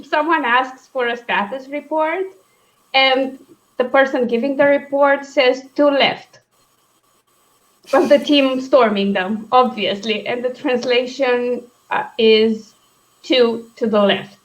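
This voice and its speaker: A young woman, co-hosting a casual chat, talking quickly with frequent laughs and asides. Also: high-pitched, rough recording. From Romania. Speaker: co-host.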